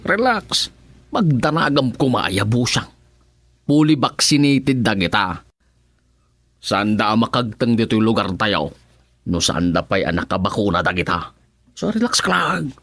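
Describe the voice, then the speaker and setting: A man's voice: on the low side, big pitch swings, a little slow, long pauses, a bit bright, no echo, studio quality, heavily acted. A man with an Ilocano accent playing an easygoing, unworried village husband in a radio comedy-drama, breezy and colloquial. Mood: reassuring.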